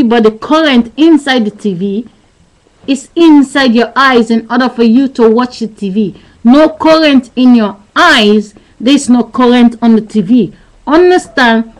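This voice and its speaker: High-voiced woman lecturing insistently to webcam. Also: low-quality recording. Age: 30s. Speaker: vlogger. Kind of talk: rambling philosophical webcam monologue. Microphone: webcam mic.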